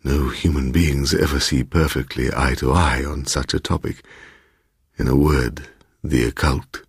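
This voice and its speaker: A man voicing a pompous, insinuating, sinister gentleman obsessed with the occult in a dramatised audiobook. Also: extremely low pitch, steady tone, unhurried, husky, dry recording, clean studio sound, pitched below the performer's own voice, exaggerated voice. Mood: aggrieved, self-justifying.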